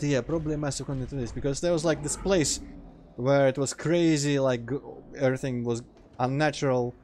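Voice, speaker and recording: Russian guy, mid-pitched, mild accent, deadpan let's-play narration over quiet game music. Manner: dry, sarcastic asides. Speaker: gameplay commentator. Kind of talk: casual let's play commentary.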